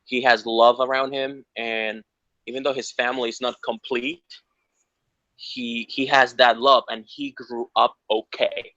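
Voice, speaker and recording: A man's voice: mid-range voice, little pitch movement, measured pace, bright, forceful tone, no echo. A man from Ecuador, earnest and rambling, answering questions in a casual interview. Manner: earnest, rambling, lots of restarts. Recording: dull lo-fi sound.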